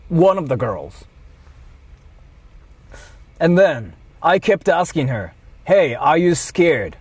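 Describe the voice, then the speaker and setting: A man livestreaming a mock apology to his viewers, speaking in deliberate, halting short phrases. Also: a little high, no echo.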